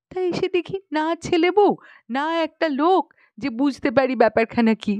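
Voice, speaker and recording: A woman's voice: on the high side, a little mellow, no echo. A woman voicing an aggrieved, bossy young matron in an audiobook story, chatty and indignant, full of rhetorical questions. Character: aggrieved, bossy young matron airing grievances about in-laws.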